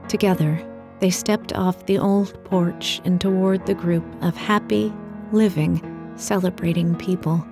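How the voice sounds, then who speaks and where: A woman's voice: fairly low pitch, fairly even intonation, unhurried, gravelly, music in the background. A woman reading a short story as a scripted fiction narration.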